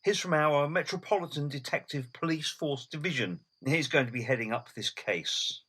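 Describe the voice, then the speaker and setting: A man's voice: a little high, moderate pace, sharp tone, slightly rough. A man playing a commanding, plain-spoken Victorian police inspector in an audio drama, businesslike.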